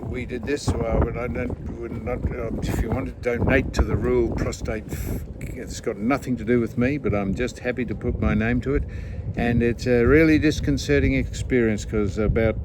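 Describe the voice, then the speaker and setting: Older man in his seventies, rambling host, low-ish voice, loud boat noise behind. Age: 70s. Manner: rambling, halting, with many fillers and restarts, dry joking. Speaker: vlog host. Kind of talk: casual interview chat. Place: outdoors on a boat.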